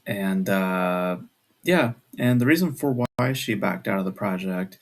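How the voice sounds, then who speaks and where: A man in his twenties talks casually to his viewers in a low voice with plenty of creak. He keeps it hushed, as if others nearby are sleeping, and rambles along hesitantly. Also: animated, unhurried. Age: 20s. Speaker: video creator. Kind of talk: casual video chat. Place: at home at night.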